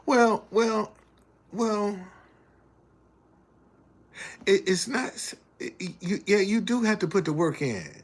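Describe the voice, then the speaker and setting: Older man, 70s, folksy livestream host, unhurried and gravelly. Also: high-pitched, lively intonation. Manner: folksy, preacherly, reads chat messages aloud. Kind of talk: casual livestream talk and preaching.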